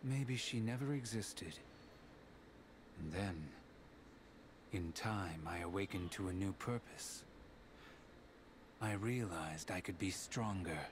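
An actor playing a cold, aloof young villain in a game drama, measured and stern. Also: male voice, low voice, noisy background. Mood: grave, cold. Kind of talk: dramatic video game voice acting.

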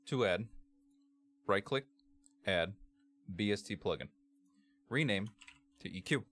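A man with a bass-heavy voice narrating a software tutorial in a measured, step-by-step way as its presenter. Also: lively intonation, slight background noise. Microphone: computer microphone, screen-capture voice-over.

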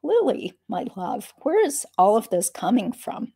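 A woman's voice: fairly high pitch, big pitch swings, measured pace, warm tone, husky, dry recording, clean studio sound, exaggerated voice. A woman reading as a doting young husband. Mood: soothing. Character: sleepy affectionate young husband, gentle and doting.

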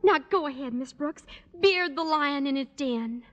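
Very high-pitched earnest teenage schoolgirl. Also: moderate pace, a bit bright, lo-fi sound. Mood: eager, coaxing. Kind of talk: 1950s radio comedy acting. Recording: noisy old radio recording.

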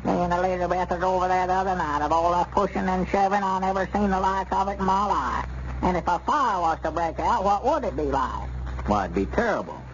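A man's voice: high-pitched, fairly quick, lightly acted. An actor playing a righteous, insistent small-town man with a rural Southern US accent, folksy and urgent, in a 1930s radio serial. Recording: muffled, hissy 1930s radio recording.

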